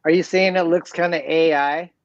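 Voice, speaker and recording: A man's voice: fairly high pitch, steady tone, moderate pace, no echo. A man of about sixty chatting casually as a guest, with a mild, modest, slightly hesitant manner, over lo-fi audio. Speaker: live chat guest. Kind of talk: casual chat.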